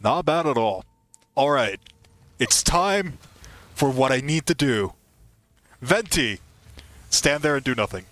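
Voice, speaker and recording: A man's voice: mid-range voice, animated, takes it slowly, pauses often, fairly bright tone, no room sound. A young man giving casual, playfully dramatic live commentary as he streams a video game. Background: game music.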